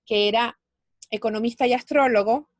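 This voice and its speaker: A woman with a fairly high voice talks through her ideas in an interview. She explains patiently and thinks aloud as she goes, and her sound is close and dry, with no room around it. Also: moderate pace, no room sound. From Venezuela. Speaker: guest, finance speaker. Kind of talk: conversational interview.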